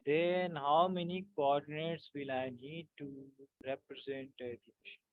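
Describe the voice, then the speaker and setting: A male teacher leads an online physics class in a slightly raised voice. He talks slowly and haltingly, filling gaps with "uh" and checking in with "right?" The sound is thin and phone-like, with no room echo. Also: on the high side. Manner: halting, many fillers, keeps checking with "right?". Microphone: video call. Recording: tinny dry call audio.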